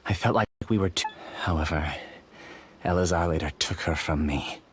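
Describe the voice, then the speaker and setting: A deep, courteous, calculating scholar. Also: male voice, moderate pace, a bit bright, a little hiss or hum. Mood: wistful, confessional. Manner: courteous, theatrical, smooth-talking. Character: polite, calculating male scholar, obsessed and grieving. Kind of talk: video game voice acting.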